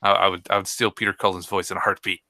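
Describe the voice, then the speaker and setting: A man with a lowish, very bright voice, talking loosely with lots of ums and likes. The sound is dry and close. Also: little pitch movement, fast talker, no echo. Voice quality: trained voice, speaks from the throat. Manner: rambling, hesitant, many fillers. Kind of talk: casual chat.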